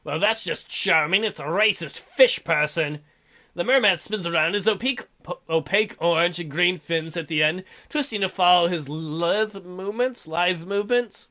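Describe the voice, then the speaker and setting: A man's voice: high-pitched, normal speed, bright, forceful tone. A man reading visual novel text aloud as a let's play host, with irreverent, sarcastic asides. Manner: irreverent, crude, sarcastic commentary.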